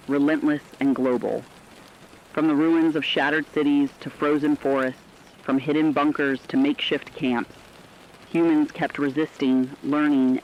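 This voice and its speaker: Male narrator, mid-pitched, grave, measured, dramatic scripted read, dull sound, light rain noise.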